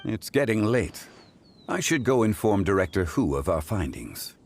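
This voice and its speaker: A man voicing a calm, learned, formal gentleman in a video game. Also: on the low side, moderate pace, a little hiss or hum. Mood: courteous. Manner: formal, refined. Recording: faint music behind.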